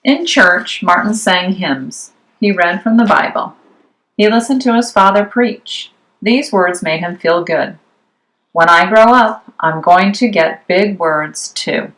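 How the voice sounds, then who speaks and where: Low-pitched woman reading a picture book. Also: slow, a bit bright, poor audio. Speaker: read-aloud storyteller. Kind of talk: scripted children's picture book narration. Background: music behind the voice.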